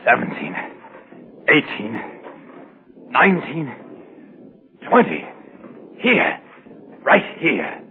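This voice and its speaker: An actor playing a desperate, badly injured, greedy crook in a strained voice, in 1940s radio suspense style. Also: male voice, mid-range voice, wildly swooping pitch, extremely slow, pauses often, heavily acted. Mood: straining, counting. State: badly strained, struggling for breath. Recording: muffled vintage 1940s radio, faint music behind.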